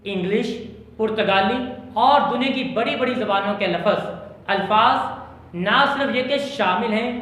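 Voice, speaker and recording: A man from Pakistan with a Pakistani accent, teaching in a formal lecture style, oratorical and deliberate, repeating phrases for emphasis. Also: sits high, a bit bright. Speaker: Urdu language and literature teacher. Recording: strongly echoing, reverberant room sound.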